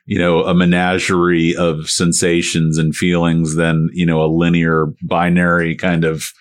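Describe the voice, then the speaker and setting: A man with a very deep voice interviews a guest in a relaxed, conversational way. He wanders through his questions with many fillers and false starts. He sounds middle-aged. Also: measured pace. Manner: rambling, many restarts and fillers. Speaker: podcast host. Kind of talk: casual interview.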